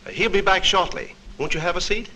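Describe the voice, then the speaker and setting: A man's voice: high voice, sing-song, fairly quick. A man playing a polite, businesslike minor official in a 1940s cartoon. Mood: courteous. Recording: distant, very noisy old film soundtrack.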